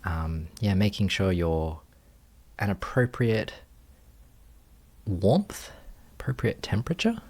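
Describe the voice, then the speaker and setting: A man leading a guided meditation as a teacher, speaking gently in short phrases with unhurried pauses. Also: low voice, big pitch swings.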